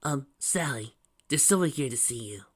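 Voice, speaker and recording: A man voicing a brisk, reassuring motherly woman in an amateur story reading. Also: mid-range voice, lively intonation, pitched above the performer's own voice, natural voice.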